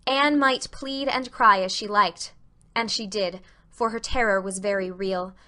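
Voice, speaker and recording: A woman narrating a novel as a dramatic reading, with expressive literary storytelling. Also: medium pitch, unhurried.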